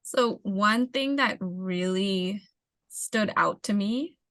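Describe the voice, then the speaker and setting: A young adult woman from the Bahamas, an urban planner, giving measured, explanatory answers in an interview and repeating words as she thinks. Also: medium pitch, a bit bright, no room sound.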